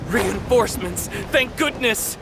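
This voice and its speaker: Gravelly, exhausted game fighter. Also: male voice, very high-pitched, lively intonation, unhurried, music in the background, exaggerated voice. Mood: relieved, exhausted. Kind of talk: English video game voice acting.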